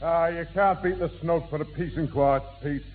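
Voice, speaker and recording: A man's voice: fairly high pitch, normal speed, phone-quality sound, faint music behind, close to an everyday voice. A man playing a genial beer-loving pub regular with a Northern English accent, pompously mangling long words in a 1960s radio sitcom. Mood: contented. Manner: jolly, pompous malapropisms. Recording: thin, noisy old radio broadcast.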